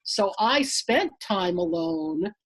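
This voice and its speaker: Husky woman in her sixties. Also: mid-range voice, expressive, slow pace. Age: 60s. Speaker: trip participant giving a testimonial. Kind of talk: casual personal recollection.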